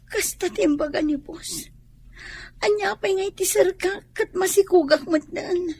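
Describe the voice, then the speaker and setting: Meek young Filipino daughter, high voice, slow delivery, radio drama. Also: female voice, a little mellow. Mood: troubled. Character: pregnant, ailing young daughter, meek and pleading.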